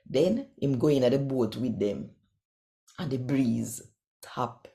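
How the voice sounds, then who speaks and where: A Jamaican woman hosting a channel and reading scripture aloud in a deliberate, expressive storytelling way. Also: extremely low pitch, creaky. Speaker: channel host and scripture reader. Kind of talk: devotional Bible reading in Patois.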